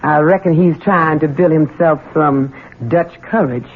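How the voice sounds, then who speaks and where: A woman's voice: low voice, measured pace, slightly rough, narrow, tinny audio, heavily acted. An actress playing a loyal, knowing Southern housekeeper and mother in a 1970s radio drama, folksy and deferential to her master. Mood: wry. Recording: band-limited, noisy old radio recording.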